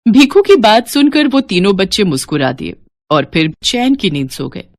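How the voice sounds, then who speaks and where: A woman narrating a scripted children's story in an expressive storytelling style. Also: medium pitch, moderate pace, dry recording, clean studio sound.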